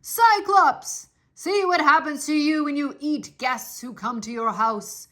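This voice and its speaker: A woman voicing a bold, boastful male Greek hero with loud, jeering calls in a children's storybook reading. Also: high voice, slow, pitched above the performer's own voice, heavily acted. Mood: jeering, triumphant. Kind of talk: children's storybook read-aloud.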